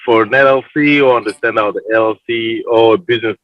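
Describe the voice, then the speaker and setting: A man's voice: fairly low pitch, steady tone, brisk, no echo. A man in a mid-range voice moderates a forum and asks the panel long questions. He halts and restarts his sentences. His voice comes across dull and lo-fi, with no room sound around it.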